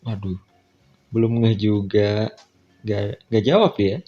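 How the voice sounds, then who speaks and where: Male Indonesian game streamer, informal narration and slangy reactions, slightly muffled recording with soft background music. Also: fairly low pitch, steady tone, takes it slowly. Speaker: visual novel let's-play streamer. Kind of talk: reading game dialogue aloud with casual side comments. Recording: dull lo-fi sound with music.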